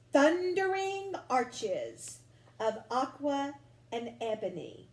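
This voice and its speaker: Woman, storybook read-aloud, very slow dramatic phrasing, swooping intonation, roomy echo. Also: fairly high pitch, darkish tone. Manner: slow, dramatic, deliberate phrasing with emphasis on vivid words. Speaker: storybook reader. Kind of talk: expressive picture-book read-aloud.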